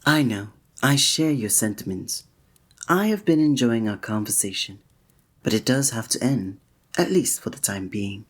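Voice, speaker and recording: Man playing a soft, breathy, gallant fantasy innkeeper. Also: lowish voice, lively intonation, moderate pace. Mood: polite, attentive. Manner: formal, old-fashioned, gallant, gentle phrasing. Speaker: ASMR roleplay performer. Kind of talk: scripted romantic ASMR roleplay.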